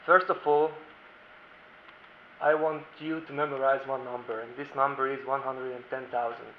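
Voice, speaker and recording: A man's voice: medium pitch, flat delivery, moderate pace. A lawyer from Slovakia whose first language is Hungarian gives a formal conference presentation, keeping close to his notes and phrasing things with care. His voice carries the echo of a large conference room. The recording is dull, with a faint hiss underneath. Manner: formal, reads from notes, careful non-native phrasing.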